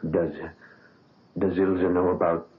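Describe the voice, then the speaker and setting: An actor playing an anguished young pianist in a 1970s radio drama, halting and stammering. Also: male voice, low-pitched, level tone. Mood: hesitant. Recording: narrow phone-like old radio broadcast.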